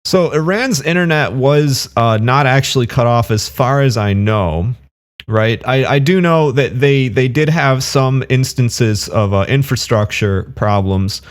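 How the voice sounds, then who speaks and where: A man's voice: mid-range voice, lively intonation, a little slow. Man ranting dismissively to camera. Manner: argumentative, dismissive, with many fillers and restarts. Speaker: online commentator. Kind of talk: casual reaction commentary.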